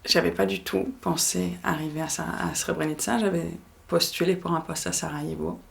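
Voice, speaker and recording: Very creaky-voiced woman. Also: on the low side, steady tone, fairly quick. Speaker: interviewee. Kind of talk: personal account in interview.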